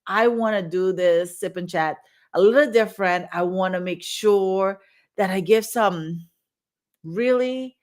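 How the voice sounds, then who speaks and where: A woman hosting a casual livestream as a dating and marriage coach, bubbly and excited, talking in rambling run-on sentences. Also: medium pitch.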